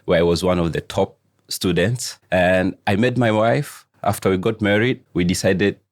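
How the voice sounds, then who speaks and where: A middle-aged man from Tanzania, a coach answering interview questions slowly, pausing often as he searches for words. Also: low voice, no room sound, studio quality. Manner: slow, searching phrasing with frequent "uh" pauses. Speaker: guest coach. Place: studio.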